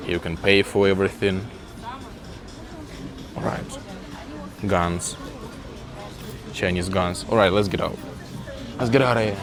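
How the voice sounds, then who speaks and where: Slow, low-voiced man. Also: crowd noise. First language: Russian. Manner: chatty, curious, exclaims at things, many "like" fillers. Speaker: vlogger. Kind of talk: casual walking vlog for English learners. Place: city streets outdoors and inside a small shop.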